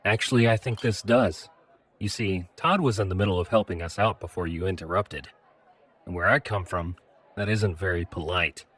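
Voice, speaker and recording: Man playing a low-voiced polite mechanical man. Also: normal speed, breathy edge. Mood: firm. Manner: polite, calm. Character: large mechanical man, polite and protective adventurer. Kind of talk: audio drama acting.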